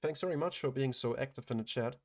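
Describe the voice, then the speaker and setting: A man running a live day-trading stream, giving matter-of-fact commentary, hoarse as he starts to lose his voice, and clearing his throat often. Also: mid-range voice, fast, a little mellow. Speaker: day trader hosting a livestream.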